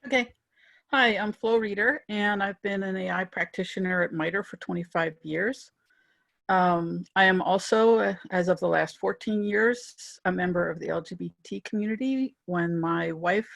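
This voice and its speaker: Very creaky, low-pitched mature woman. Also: no room sound. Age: middle-aged or older. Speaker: panelist, AI practitioner. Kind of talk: semi-formal spoken self-introduction on a panel. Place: remote video call.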